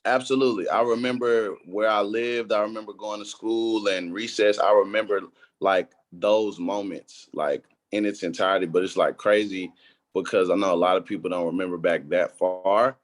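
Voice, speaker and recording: A man's voice: on the low side, steady tone, moderate pace, no echo. A young man from Houston, talking casually as a podcast guest in a laid-back, slangy way. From Houston, Texas. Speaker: guest entrepreneur.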